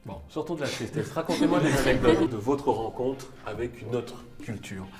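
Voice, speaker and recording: A man's voice: medium pitch, moderate pace, over music. A man hosting and moderating a panel discussion, putting questions to a group. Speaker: interviewer, host.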